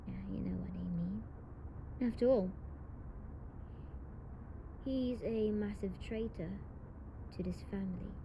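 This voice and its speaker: Casting: actress as tough young delinquent woman with a soft side, audio roleplay, fry-laden voice, noticeable noise behind. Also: mid-range voice, takes it slowly, long pauses. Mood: cold, vengeful. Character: tough tsundere delinquent young woman, tender lover, vengeful sister. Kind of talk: intimate romantic audio roleplay acting. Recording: noisy home recording, audible background noise.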